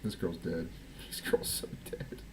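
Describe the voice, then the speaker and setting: A man's voice: a little slow, background noise. A man hosting a livestream, giving informal, exclamatory reaction commentary in a casual style. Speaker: livestream host.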